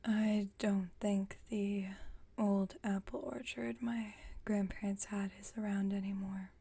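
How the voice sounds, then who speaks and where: Slow, whispering vampire woman, acted by a woman. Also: mid-range voice, level tone. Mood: nostalgic. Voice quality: soft, breathy whisper. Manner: slow, gentle, unhurried. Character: gentle, wry, nostalgic female vampire roommate. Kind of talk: whispered ASMR roleplay.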